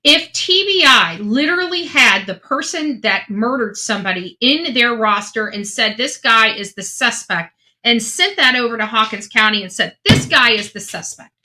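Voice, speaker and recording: A woman's voice: a little high, lively intonation, moderate pace, sharp tone. A woman giving casual true crime commentary, earnest and emphatic, often restarting her sentences.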